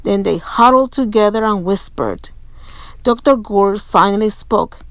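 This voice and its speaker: Casting: female picture-book narrator, expressive, unhurried pace, dry close sound. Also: mid-range voice, no room sound. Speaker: storybook reader. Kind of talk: children's read-aloud narration.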